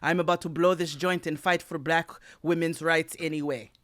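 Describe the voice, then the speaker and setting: A man's voice: a little high, fairly even intonation, moderate pace, fairly bright tone, no echo, studio quality, natural voice. A man voicing a giant, blunt woman cook and activist with a put-on Dutch accent. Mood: brash.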